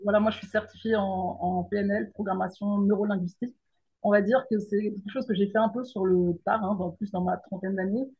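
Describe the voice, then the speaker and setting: A middle-aged woman from the Paris region speaks as an interview guest. She thinks aloud, restarts and pauses often, and her husky voice comes through a dull, muffled remote line. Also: mid-range voice, steady tone, warm tone. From France, Paris region. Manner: thoughtful, hesitant with many fillers and restarts. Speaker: guest, mindset coach and teacher.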